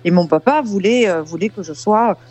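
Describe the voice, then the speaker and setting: Female singer, 50s, from southern France, halting mid-pitched speech, faint music under. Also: a little mellow. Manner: hesitant, repeats words and fills with euh. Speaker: singer, interview guest. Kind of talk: casual interview.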